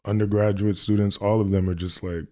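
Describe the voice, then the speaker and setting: A young man from New York City, a guest artist talking in a relaxed interview with lots of fillers and restarts. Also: lowish voice, fairly quick. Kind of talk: casual interview conversation.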